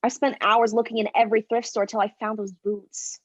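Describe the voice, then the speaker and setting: A woman's voice: on the high side, flat delivery, fairly quick, close to an everyday voice. A woman playing a terse, agreeable, resigned schoolgirl in a staged play reading. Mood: wistful. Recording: dull, muffled stage recording.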